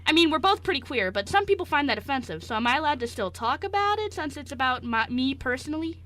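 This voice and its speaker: A woman playing a cheeky, defiant eighteen-year-old queer young man in an amateur radio play, arguing in an animated way. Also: high-pitched, quick pace, heavily acted. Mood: playful. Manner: animated, argumentative.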